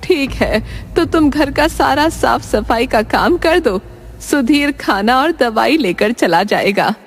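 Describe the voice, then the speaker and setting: A woman's voice: sits high, expressive, slow pace, pitched above the performer's own voice, exaggerated voice. A woman voicing a caring, instructing village mother in a children's cartoon story. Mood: firm, concerned.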